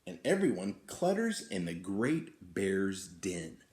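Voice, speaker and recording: Animated, unhurried male storyteller in echoey room. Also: low-pitched. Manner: expressive, drawn-out, inviting participation. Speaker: teacher reading a story to children. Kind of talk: animated picture-book storytelling. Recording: roomy, echoing indoor sound.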